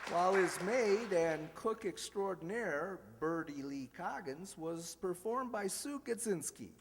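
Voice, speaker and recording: High-pitched man announcing in an echoey hall. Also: expressive, a little slow, a loud crowd. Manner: jokey, ad-libbing. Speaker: announcer and host. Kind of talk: live old-time radio show announcing. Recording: echoey hall with background hiss.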